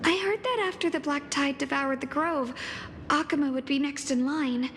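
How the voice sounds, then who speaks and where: A woman's voice: high voice, moderate pace, fairly bright tone, a little hiss or hum. A woman playing an earnest, courteous young student in a video game, speaking politely and formally. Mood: relieved. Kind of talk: dramatic video game voice acting. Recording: reverberant hall, faint music.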